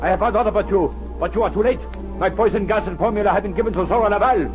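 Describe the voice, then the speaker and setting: An actor playing a gullible, proud old chemist who speaks haltingly and solemnly and is easily agitated, in old-time radio style. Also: male voice, high voice, music in the background, lightly acted. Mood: furious. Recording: dull old radio recording.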